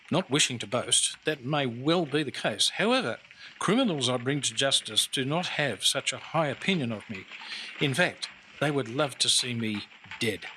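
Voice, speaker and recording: An actor playing a boastful, famous Victorian-era detective inspector in an old-time radio drama, formal and theatrical in manner. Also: male voice, medium pitch, bright, forceful tone, a little hiss or hum, poor audio. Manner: theatrical, self-assured, formal.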